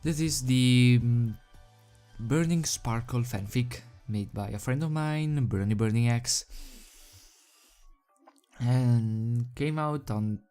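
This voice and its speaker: Casting: unhurried amateur host-reader, hesitant and self-effacing, medium pitch, music underneath. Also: mellow. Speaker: video host and fan fiction reader. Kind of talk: casual rambling chat, then storybook narration. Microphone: desk microphone without pop filter.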